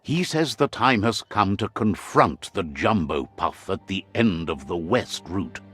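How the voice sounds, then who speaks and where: A man's voice: low-pitched, big pitch swings, measured pace, a bit bright, faint music behind. A man giving scripted narration for a video game, a wry storyteller who relays what the characters say.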